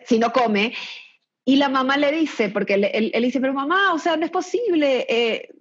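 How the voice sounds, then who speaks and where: A woman's voice: high-pitched, normal speed, dull audio. A Mexican woman talking casually about children's books, chatty, with frequent restarts and laughs. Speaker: guest recommending children's books. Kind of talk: casual chat.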